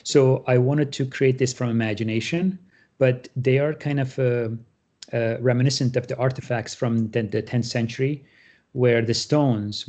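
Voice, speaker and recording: A middle-aged man, a guest artist explaining his work on a panel, halting with many fillers and restarts. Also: mid-range voice, warm tone, muffled recording. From Syria. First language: Armenian. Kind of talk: panel talk about his artwork.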